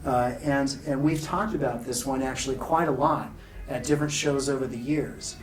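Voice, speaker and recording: A man being interviewed at a trade show, explaining technical details in a measured, informal way. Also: medium pitch, steady tone, recorded from a distance. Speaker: loudspeaker company founder being interviewed. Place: exhibit room at an audio show. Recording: off-mic, noisy exhibit room.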